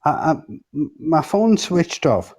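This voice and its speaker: A man's voice: a little high, sing-song, takes it slowly, darkish tone. A man in a heated casual debate, speaking insistently, cutting in and swearing freely.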